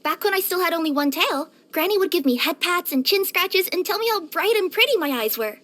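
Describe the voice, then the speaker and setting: A woman voicing a cheerful, eager young female cat yokai courier in a video game, with an expressive, cutesy delivery and little hesitant murmurs. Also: extremely high pitch, a little fast. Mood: warm, chatty. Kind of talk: scripted video game character voice lines.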